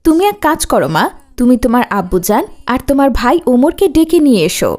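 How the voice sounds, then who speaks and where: A woman's voice: high voice, very animated, fast talker, darkish tone. A woman voicing a caring, affectionate mother in a children's cartoon, with scripted delivery.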